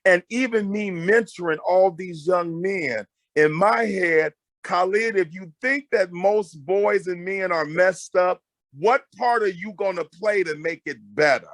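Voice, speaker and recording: A loud, emphatic middle-aged man giving animated, conversational advice as a guest counselor, often repeating phrases to drive them home. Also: high-pitched, a little slow, dry recording. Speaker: guest marriage counselor and mentor.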